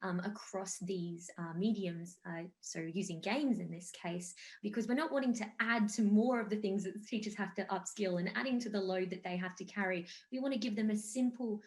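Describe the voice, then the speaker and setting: An enthusiastic woman in her thirties talks on an online panel, her voice at a middle pitch. She speaks fluently, with some fillers, over a video-call line. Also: fairly quick, few pauses. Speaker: panelist, game company founder.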